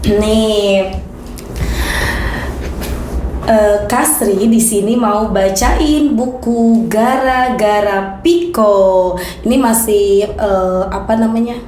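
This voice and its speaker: A woman's voice: medium pitch, very slow, a little mellow. A woman guest storyteller chatting casually on the radio in an encouraging way. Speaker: guest storyteller from a read-aloud community. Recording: echoey room with faint background noise.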